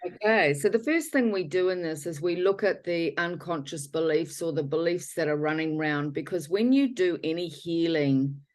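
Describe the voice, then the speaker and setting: A woman with a notably low voice leads a guided healing talk as the guest. She rambles and often corrects herself mid-sentence. She keeps circling back to rephrase her points. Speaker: healer guest.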